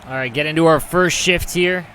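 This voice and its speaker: A man's voice: a little high, fairly quick, fairly bright tone, a little hiss or hum. A man giving casual gameplay commentary as the host of a gaming video.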